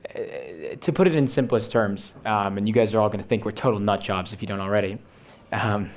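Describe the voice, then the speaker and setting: A young man, a startup founder on a conference panel, talking quickly and eagerly, often tagging his points with "right?". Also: fairly low pitch. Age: young adult. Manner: rapid, punctuates with "right?". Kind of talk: fast, enthusiastic pitch talk. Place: conference stage before an audience.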